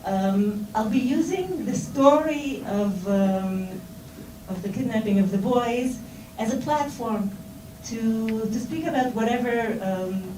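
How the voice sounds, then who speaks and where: A woman's voice: fairly high pitch, takes it slowly, warm tone. A middle-aged Israeli woman giving an informal talk to seminar students, with a Hebrew accent in her English and many fillers and restarts. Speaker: speaker at a seminar. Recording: roomy and distant, with noise.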